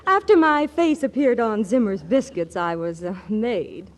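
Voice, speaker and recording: Coy glamorous model, giggly, teasing, bright lilting voice, vintage radio comedy. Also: female voice, a little high, lively intonation, a little slow. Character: glamorous young female model, coy and playful. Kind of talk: 1940s radio comedy acting. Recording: old radio broadcast recording.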